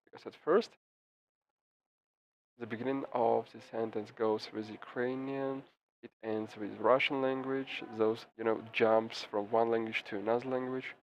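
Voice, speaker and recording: Male simultaneous interpreter, mid pitch, stop-start delivery, slightly dull sound, faint hiss. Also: little pitch movement. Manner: halting, frequent restarts and fillers. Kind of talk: live interpretation of a press briefing. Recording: slightly muffled with faint background noise.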